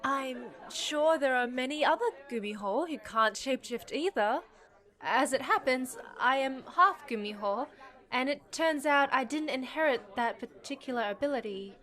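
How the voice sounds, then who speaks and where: A woman's voice: high voice, lively intonation, normal speed, fairly bright tone. A woman playing a spirited young half-fox-spirit, half-human job seeker who argues back, in an audio drama. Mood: nervous, candid. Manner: defiant, indignant, argumentative.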